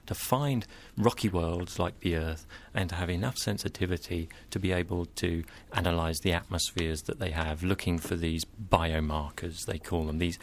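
A man as a scientist guest, explaining carefully and in a measured way in an interview. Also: deep voice, steady tone, a little hiss or hum.

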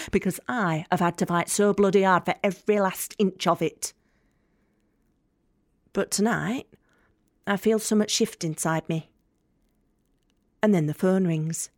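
A woman's voice: fairly low pitch, darkish tone, no echo, studio quality, natural voice. An actress playing a tough, guarded working woman from Leeds with a Yorkshire accent, blunt, wry and confiding in an audio drama monologue. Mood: guarded, moved. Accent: Northern English, Yorkshire.

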